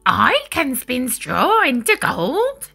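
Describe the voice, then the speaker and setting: A woman's voice: sits high, big pitch swings, extremely slow, vocal fry, pushed and bright, soft background music, full character voice. A woman voicing a sly, smirking little magical man in a storybook reading.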